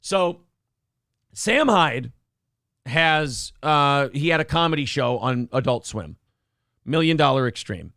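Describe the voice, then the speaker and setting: A man's voice: on the high side, lively intonation, slow pace, pauses often, sharp tone, no room sound. A man hosting a morning show, chatting casually with a dry throat, profane and opinionated, acting out quotes in raised voices. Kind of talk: casual talk-show banter.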